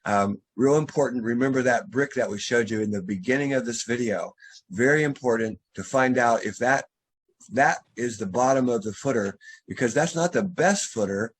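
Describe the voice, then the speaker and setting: A man's voice: medium pitch, moderate pace, breathy edge, poor audio. A man, a drainage contractor, who is losing his voice, talks a homeowner through materials on a video call, explaining step by step. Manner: instructive, restarts and fillers, explains step by step. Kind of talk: remote consultation walkthrough.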